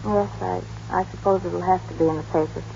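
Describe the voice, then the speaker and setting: Gentle young American woman, old radio. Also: fairly low pitch, fairly even intonation, brisk, gravelly. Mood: uneasy, subdued. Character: soft-hearted, wistful young city woman from a small town. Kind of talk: 1930s-40s radio drama acting. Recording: dull, noisy old radio broadcast.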